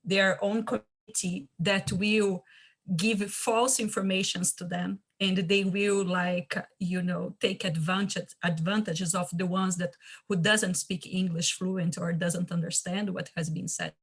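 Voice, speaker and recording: A woman's voice: on the low side, fairly even intonation, unhurried, slightly rough. A woman from Brazil with a Brazilian Portuguese accent, speaking earnestly on an online panel and often restarting to search for words.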